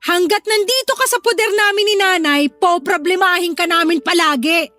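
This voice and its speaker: A woman's voice: extremely high pitch, moderate pace, bright, forceful tone. A Filipino woman playing a bossy, hot-tempered older sister who nags and confronts in a radio-style drama. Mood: confrontational. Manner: nagging, confrontational.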